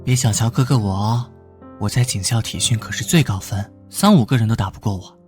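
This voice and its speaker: An actor playing a protective, easily flustered young policeman in an animated drama, expressive and exclaiming, with a teasing big-brother manner. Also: on the low side, fairly quick, faint music behind, lightly acted. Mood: reassuring, teasing.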